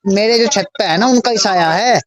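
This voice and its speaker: Fervent high-pitched man, western UP accent. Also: moderate pace. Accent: western Uttar Pradesh. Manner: fervent, insistent, repeats himself. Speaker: supporter of a local politician. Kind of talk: informal phone conversation. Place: phone call recording. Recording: recorded phone call.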